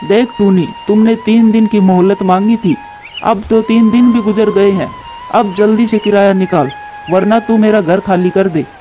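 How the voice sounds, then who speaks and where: A man's voice: high-pitched, fairly even intonation, normal speed, dark tone, dull audio, soft background music, lightly acted. A man voicing a stern, stingy male crow landlord in a cartoon, talking in a demanding manner. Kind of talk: Hindi cartoon dialogue.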